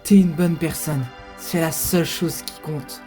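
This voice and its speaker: A woman's voice: low-pitched, fairly even intonation, a little slow, warm tone. Fierce warrior monster mentor, voiced by a woman. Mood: earnest reassurance. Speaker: voice actor in a fan dub. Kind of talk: dramatic animation dubbing. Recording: music behind the voice.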